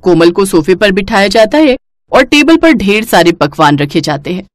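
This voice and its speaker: A woman's voice: mid-range voice, very animated, normal speed, no echo, studio quality. A woman narrating a scripted family story as a storyteller.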